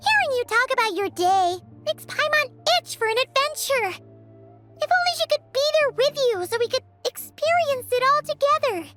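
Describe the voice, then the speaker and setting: A woman voicing a small, chatty, childlike fairy companion with a squeaky voice in a video game. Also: animated, moderate pace, over music. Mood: wistful. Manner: refers to herself in third person. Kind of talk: animated video game dialogue.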